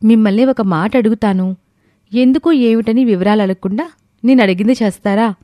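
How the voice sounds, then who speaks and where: A woman as an earnest young wife, mellow voice. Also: a little high, brisk, no room sound, studio quality, lightly acted. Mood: calm, earnest. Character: thoughtful, protective young wife and sister-in-law. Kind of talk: scripted audio story dialogue.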